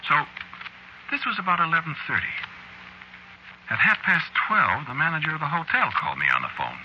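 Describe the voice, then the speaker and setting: An actor playing a jittery radio announcer who tells his story in an agitated, halting, conversational way, in an old-time radio drama. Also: on the high side, big pitch swings, highs cut off, a little hiss or hum. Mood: nervous, brooding. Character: rattled, jittery radio announcer recounting his nightmarish night. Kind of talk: old-time radio drama acting, first-person narration. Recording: vintage radio drama recording.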